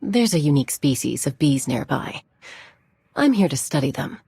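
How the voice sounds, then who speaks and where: A woman's voice: low-pitched, sing-song, normal speed, gravelly, mellow, breathy edge, full character voice. A woman playing a composed, reserved female scholar in video game dialogue, speaking precisely.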